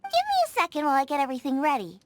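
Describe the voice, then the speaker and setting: A woman voicing a bubbly young female chef in a video game dub, eager, food-obsessed and quick to get excited. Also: high-pitched. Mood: enthusiastic. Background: soft game music.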